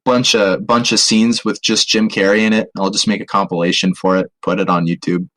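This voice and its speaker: A man's voice: lowish voice, flat delivery, a little fast, a bit bright. A man chatting casually and jokingly as a podcast co-host. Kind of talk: casual comedic chat.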